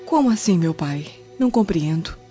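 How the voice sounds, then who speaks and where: An actress playing a dutiful Roman daughter in a Brazilian radio drama, earnest and deferential. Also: female voice, on the low side, lively intonation, moderate pace, mellow, lightly acted. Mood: respectful puzzlement. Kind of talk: dramatic radio play acting. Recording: dull, muddy radio drama with music.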